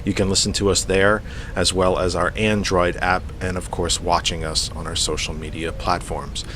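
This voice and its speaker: Deep-voiced, stuffy male radio host. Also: little pitch movement, normal speed, fairly bright tone, slight background noise. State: congested, sniffling from allergies. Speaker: radio talk show host. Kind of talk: live internet radio talk, program announcements.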